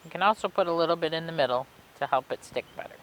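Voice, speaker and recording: A woman reading and explaining things for children in an expressive way, with simple, clear phrasing, like a children's librarian. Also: low-pitched, racing, slight background noise, rough recording. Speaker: children's librarian reading a story and demonstrating a craft. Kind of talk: read-aloud storytelling and craft instructions for children.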